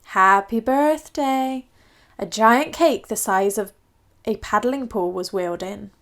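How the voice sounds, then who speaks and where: A woman storyteller reading a children's picture book aloud in an expressive, scripted style. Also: mid-range voice, a little slow.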